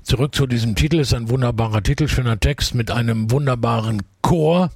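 Gravelly radio host, dry studio sound. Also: male voice, medium pitch, fairly quick, darkish tone, dry recording. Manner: enthusiastic, leading questions. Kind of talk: radio interview.

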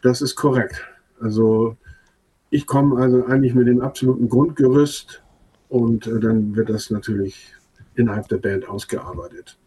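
An older man whose first language is Low German, a band leader answering interview questions hesitantly with many fillers. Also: moderate pace, mellow, breathy edge, low-quality recording.

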